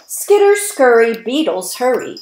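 Female children's read-aloud voice, fifties, fairly high, deliberate emphasis, roomy webcam recording. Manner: slow, deliberate, expressive word emphasis. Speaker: early childhood educator reading aloud. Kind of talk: picture-book read-aloud for young children. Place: indoor room at home, by windows. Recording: roomy, echoey webcam sound.

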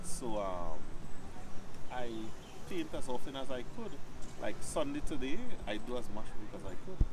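A middle-aged man from Tobago with a Trinidad and Tobago accent, chatting in a relaxed way about his work, sitting outdoors. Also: mid-range voice, moderate pace, background noise. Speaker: painter and teacher. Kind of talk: casual outdoor interview. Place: beach park outdoors, seated.

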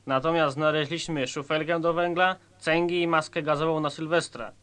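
A man's voice: on the high side, steady tone, normal speed, a bit bright, close to an everyday voice. A man acting a stern, smug police commissioner in a satirical radio play, curt and sardonic. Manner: curt, sardonic, self-assured.

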